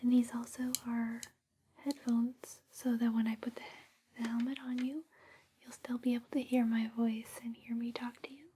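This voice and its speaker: A woman performing a whispered ASMR roleplay, gentle, soothing and unhurried, close on a binaural microphone. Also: a little high, level tone, slight background noise.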